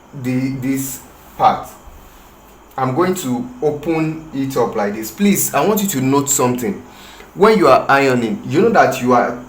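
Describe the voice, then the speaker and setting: A man with a Nigerian English accent and a Yoruba lilt talks slowly through a tailoring demonstration, pausing and restarting as he works. His voice sits at an ordinary mid pitch. It is recorded in an echoey room, with faint ambient noise behind him. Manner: slow, hesitant, explaining with pauses and restarts. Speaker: tailoring instructor. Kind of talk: tutorial demonstration. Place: room with a patterned rug, working on the floor.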